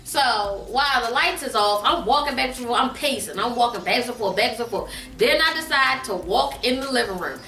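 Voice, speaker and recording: High, bright-voiced woman telling a story. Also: lively intonation, moderate pace, few pauses. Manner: emphatic, repetitive for comic effect. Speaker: guest mother telling a family story on her daughter's vlog. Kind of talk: casual comic storytelling. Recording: roomy echo, distant mic, faint music.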